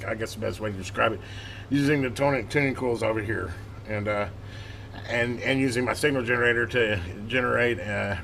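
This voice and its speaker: A man's voice: medium pitch, a bit bright. A man hosting a hobby electronics repair channel, giving a casual hands-on tutorial in a rambling, folksy, self-correcting way. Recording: clearly noisy workbench recording. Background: clear background noise.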